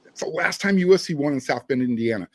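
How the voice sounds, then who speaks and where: A man's voice: fairly high pitch, lively intonation, fast. A man hosting a sports podcast, talking in a conversational way with emphatic phrasing. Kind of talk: casual sports talk with read-out sponsor spots.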